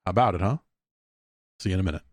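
A man's voice: deep voice, rapid-fire, no echo, clean studio sound. A man hosting a solo podcast, talking in a rambling, informal, wry way, moving between casual commentary and ad reads. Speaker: podcast host. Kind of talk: casual solo podcast talk and host-read ad.

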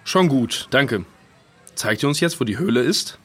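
A man's voice: mid-range voice, expressive, moderate pace, breathy edge. A man playing a resolute, protective father in a children's audio drama. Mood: impatient.

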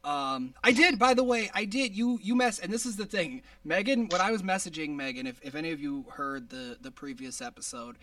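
High-voiced man rambling on a podcast. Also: expressive, a little fast, hardly any pauses, a bit bright, distant mic, slight background noise. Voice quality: high-pitched voice. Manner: rambling, restarts, frequent laughs. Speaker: podcast host. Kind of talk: casual podcast chat.

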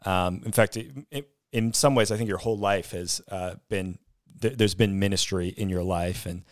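A man hosting an interview, talking in a halting way with many fillers and restarts. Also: deep voice, no room sound, clean studio sound. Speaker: host.

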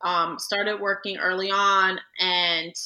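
A chatty woman in her thirties, from the Dominican Republic, speaks. Her tone is bright and sharp at a middle pitch. She talks easily as a podcast guest, leaning on "you know" and "like". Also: flat delivery, slow, low-quality recording. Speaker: guest attorney. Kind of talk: casual podcast interview.

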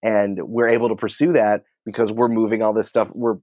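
A man with a fairly low voice talks as an interview guest in an informal, explanatory manner. His phrasing is colloquial and conversational. The recording is dry and somewhat dull, with a narrowed band. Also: level tone, a little fast, dry recording. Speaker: podcast company creative head, interview guest.

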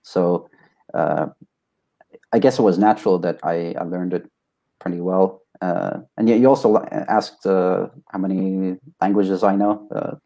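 A young man from Sweden, a native Swedish speaker, giving casual, halting, modest answers as an interview guest. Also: deep voice, steady tone, gravelly, warm tone. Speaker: interview guest, test taker.

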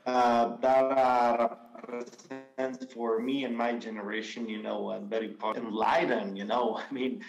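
Man, Italian accent, gravelly, hesitant, keeps saying you know, echoey room. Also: medium pitch, level tone, normal speed, soft background music. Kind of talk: formal remarks at an event. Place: event room. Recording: roomy echo.

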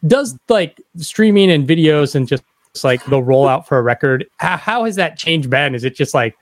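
A man hosting a casual interview, asking rambling, halting questions in a conversational way. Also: on the high side, expressive, no room sound, clean studio sound. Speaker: podcast interviewer.

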